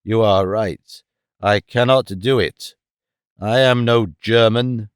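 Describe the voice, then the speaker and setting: A man voicing a grim, vengeful jungle-raised hero who speaks low, slow and menacing. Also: clear, resonant tone, no room sound, clean studio sound. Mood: grave.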